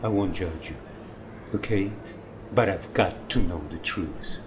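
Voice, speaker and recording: Older guy in love, low voice, wounded and jealous, pressing for answers, film drama, noisy location sound. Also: a little slow. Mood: earnest, pleading. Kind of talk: naturalistic film drama dialogue.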